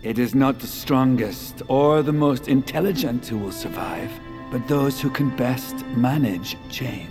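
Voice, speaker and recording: An actor playing a smooth, showy host of an underground bunker in a sci-fi audio drama, speaking in a grand tour-guide manner. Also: male voice, mid-range voice, measured pace, music in the background, lightly acted. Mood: solemn.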